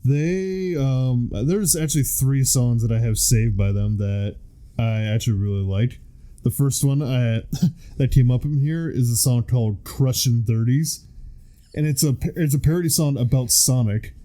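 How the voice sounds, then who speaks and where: A man's voice: mid-range voice, a little slow, warm tone. A man in his thirties, a podcast co-host, chatting casually and rambling with lots of fillers. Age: 30s. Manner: rambling, filler-heavy storytelling. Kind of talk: casual chat.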